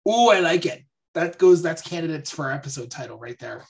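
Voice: mid-range voice, animated, brisk. Upbeat, teasing podcast co-host. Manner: upbeat, teasing, laughs often. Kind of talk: casual chat.

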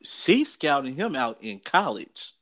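An American man chats as a sports show co-host, with a mid-pitched voice. He is opinionated and emphatic, telling things in loose everyday speech and repeating his phrases to drive a point home. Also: big pitch swings, slow pace, a bit bright. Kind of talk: casual opinionated sports and gossip chat.

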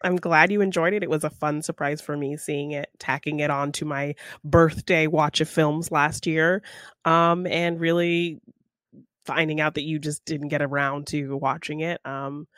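A woman's voice: low voice, fairly even intonation, normal speed, a bit bright, no room sound, clean studio sound. A woman co-hosting a podcast, chatting casually about films in an agreeable, giggly manner. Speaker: podcast co-host. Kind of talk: casual movie chat.

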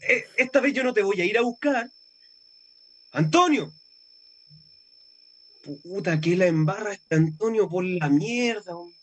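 A man's voice: high-pitched, lively intonation, measured pace, pauses often, fairly bright tone, slight background noise, rough recording. An actor playing a worried, exasperated young man with a colloquial Chilean accent in a radio drama. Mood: alarmed, exasperated. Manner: colloquial, slangy. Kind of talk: naturalistic radio drama acting.

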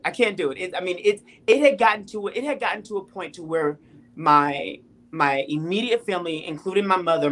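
A woman's voice: deep voice, fairly bright tone. A woman in her thirties telling her personal testimony in an interview, emphatic and repeating phrases for weight. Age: 30s. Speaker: interview guest.

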